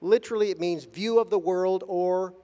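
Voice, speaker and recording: Man, high voice, formal lecture, measured delivery, dry wit, long hall echo. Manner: measured, deliberate, with gentle humor. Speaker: guest lecturer at a conference. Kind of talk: formal lecture paused for consecutive interpretation.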